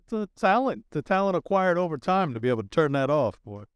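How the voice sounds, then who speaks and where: A man co-hosting a podcast as its producer, chiming in with quick agreement and playful asides in casual chat. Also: on the high side, sing-song, clean tone, no echo, clean studio sound. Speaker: producer and marketing co-host.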